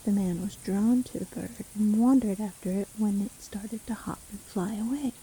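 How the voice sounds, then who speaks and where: Dark-voiced coaxing forest witch, acted by a woman. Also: mid-range voice, normal speed, slight background noise, close to an everyday voice, low-quality recording. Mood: hushed storytelling. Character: seemingly kindly solitary forest witch, coaxing and sly. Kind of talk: fantasy audio roleplay storytelling. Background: rain storm ambience.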